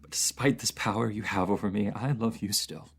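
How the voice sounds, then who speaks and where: A man's voice: on the low side, brisk, lightly acted. A man playing an anguished, proud enemy ruler in a fantasy audio roleplay, speaking in formal, theatrical phrases that halt with emotion. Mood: anguished, lovesick. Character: captive enemy ruler, anguished, proud, lovesick, under truth potion.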